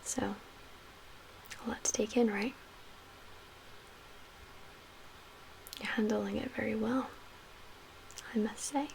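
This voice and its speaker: A soft, breathy female survivor leader played by a woman in an ASMR zombie-apocalypse roleplay. Her voice sits fairly high and stays hushed, measured and guarded, gently reassuring, with audible noise behind it. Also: steady tone, low-quality recording. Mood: gentle, concerned. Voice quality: soft, breathy, half-whispered.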